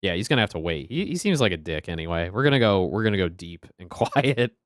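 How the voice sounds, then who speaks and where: Male game streamer, very low voice, laid-back and joking, young adult. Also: very animated, fast talker, no echo. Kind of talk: casual gaming commentary.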